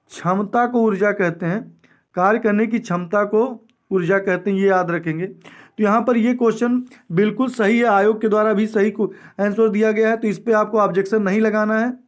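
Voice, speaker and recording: A man teaching an exam coaching lesson, explaining step by step, repeating key statements and mixing in English terms. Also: high-pitched. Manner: mixes English terms into speech. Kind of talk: explanatory lecture going through exam questions.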